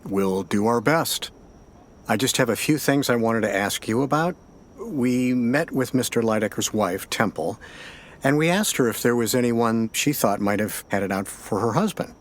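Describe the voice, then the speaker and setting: An actor playing a steady, probing American sheriff's detective in an audio drama, questioning in a measured, matter-of-fact way. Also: male voice, mid-range voice, close to an everyday voice.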